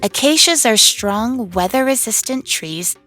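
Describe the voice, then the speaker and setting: A woman's voice: fairly high pitch, lively intonation, measured pace, sharp tone. A woman voicing an upbeat, confident young girl inventor, telling a children's read-along cartoon story in an enthusiastic, encouraging way. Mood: cheerful, proud. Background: music under the voice.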